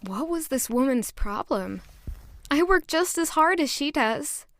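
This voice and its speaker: A woman's voice: sits high, expressive, measured pace, a bit bright, a little hiss or hum, exaggerated voice. An actress narrating as a young female castle maid, in an earnest, introspective first-person way. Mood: reflective. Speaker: narrator and lead character.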